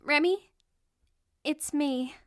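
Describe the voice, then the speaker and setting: A woman playing a gentle, earnest young friend who speaks softly and reassuringly in an audio drama. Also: sits high, extremely slow, dry recording, studio quality. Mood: hesitant concern.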